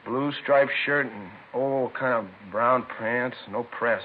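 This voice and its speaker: A faintly hissy, narrow-band 1950s radio drama recording. A man plays a chatty shopkeeper witness with a medium-pitched voice, talking in colloquial, broken phrases with an aggrieved, put-out air. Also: slow, natural voice. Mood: obliging. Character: chatty, put-out male liquor store manager, robbery victim.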